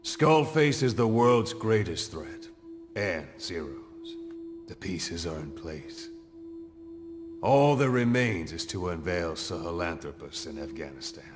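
An actor playing a cool, calculating middle-aged military interrogator with a deliberate, theatrical delivery in a video game. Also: male voice, low voice, lively intonation. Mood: grave, ominous. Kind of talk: dramatic video game acting. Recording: long hall reverb, clear music behind.

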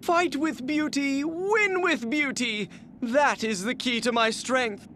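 Slow, high-voiced, vain elegant warrior. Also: male voice, pushed and bright, a little hiss or hum, heavily acted. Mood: proud. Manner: refined, flowery, effeminate, dramatic delivery. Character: vain, flamboyant, elegant warrior obsessed with beauty. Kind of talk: theatrical video game character acting.

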